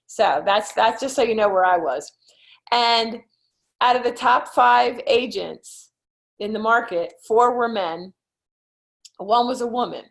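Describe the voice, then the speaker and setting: A woman's voice: a little high, unhurried, vocal fry, a bit bright. A middle-aged woman with a Philadelphia accent, a real estate coach giving a chatty online presentation full of fillers and "okay?" check-ins. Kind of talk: webinar presentation.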